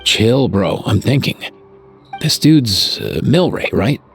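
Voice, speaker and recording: A man's voice: lowish voice, very animated, takes it slowly, creaky, faint music behind, heavily acted. A man voicing a laid-back young saurian vet in a video game, caring and knowledgeable, with a casual, slangy, thoughtful manner. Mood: sympathetic.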